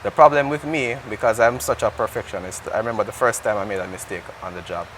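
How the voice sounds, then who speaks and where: A man's voice: on the low side, quick pace, fairly bright tone, a little hiss or hum, rough recording. A Jamaican man speaking as an interview guest in casual, conversational talk, often restarting his sentences. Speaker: interview guest, air traffic controller.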